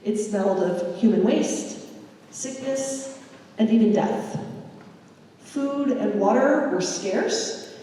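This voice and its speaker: A woman giving a public history lecture, telling a migrant's story in a measured, unhurried way. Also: fairly high pitch, dark tone, slight background noise. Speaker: history presenter. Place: lecture hall. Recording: echoey hall from far off.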